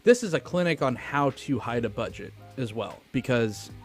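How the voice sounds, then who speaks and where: A man's voice: mid-range voice, expressive, normal speed. A man with a mid-range voice speaks as a podcast co-host. His delivery is casual film talk, informal and slangy, and faint music sits behind his voice.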